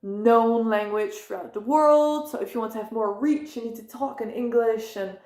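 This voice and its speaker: A young woman chats to camera in a loose, meandering way, correcting herself and filling gaps with "um" and "like." Her voice sits fairly high for a woman, and the room around her rings with noticeable echo. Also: moderate pace, darkish tone. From Netherlands. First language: Dutch. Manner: rambling, self-correcting, many fillers. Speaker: vlogger. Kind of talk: casual personal talk to camera.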